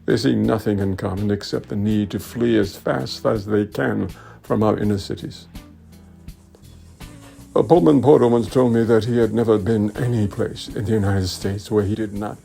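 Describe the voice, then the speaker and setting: Male reader for a commemorative speech: heavy fry, mellow tone, measured and deliberate delivery. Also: on the low side, steady tone, faint music behind. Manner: measured, deliberate, oratorical phrasing. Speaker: actor reading a speech. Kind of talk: dramatic reading of a commemorative speech.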